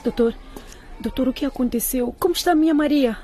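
A woman's voice: high-pitched, fast, warm tone, faint music behind, close to an everyday voice. A woman playing an anxious, devoted middle-aged mother in a radio drama, fretful and exclaiming. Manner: fretful, exclaiming, stumbling over words.

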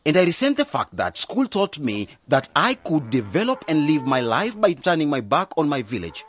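A man's voice: fairly high pitch, sing-song, moderate pace. An actor playing an earnest, idealistic young man returning to his village in a radio drama, speaking with emphasis. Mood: resentful, impassioned. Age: young adult.

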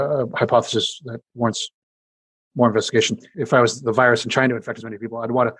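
A man with a deep, husky voice explains his research at a quick clip. He is a scientist being interviewed, talking casually, full of fillers and self-corrections. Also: no room sound, rough recording.

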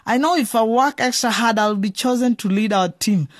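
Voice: medium pitch, moderate pace, no room sound, clean studio sound, lightly acted. An actor playing an eager, respectful fourteen-year-old boy in a radio drama, speaking earnestly. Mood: apologetic, pleading. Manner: earnest, pleading.

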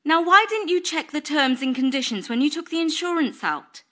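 A man's voice: very high-pitched, lively intonation, normal speed, bright, forceful tone, voice pushed up, full character voice. A man voicing a bossy, indignant woman in a storybook reading, fussy and scolding. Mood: accusing.

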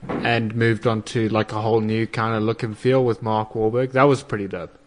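A man's voice: fairly low pitch, level tone, normal speed. A man with a South African accent chatting casually as a talk show co-host, often searching for his words. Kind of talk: casual chat.

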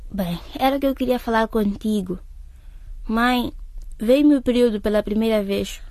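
A woman's voice: on the high side, normal speed, a little mellow, no echo, lightly acted. An actress playing a confused teenage schoolgirl in a radio drama, hesitant and confiding. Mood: troubled.

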